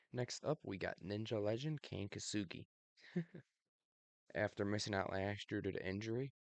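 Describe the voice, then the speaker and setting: A young man with a very low voice and a gravelly fry talks through his predictions in a loose, offhand way, filling pauses with ums. His voice is a little raspy from allergies. The recording is dry and close, with no room sound. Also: no echo. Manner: relaxed, many ums, laughs at himself. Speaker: video host. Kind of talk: casual predictions commentary.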